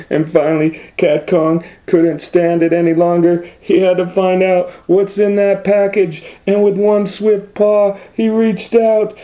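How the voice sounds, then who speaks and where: Slow, theatrical male storybook reader. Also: on the high side, mellow. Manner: theatrical, suspenseful. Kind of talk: dramatic children's storybook narration.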